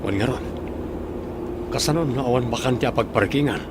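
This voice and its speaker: A man with a Filipino accent playing an observant gentleman instructing his driver in a radio drama. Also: mid-range voice, moderate pace, close to an everyday voice. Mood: calm, observant. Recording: noisy car scene in radio drama.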